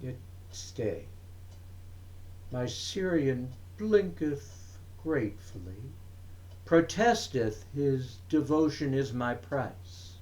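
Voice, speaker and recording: A man giving a dramatic reading of verse, in measured, deliberate phrases broken by pauses. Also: medium pitch, animated, a little mellow. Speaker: reader of poetry.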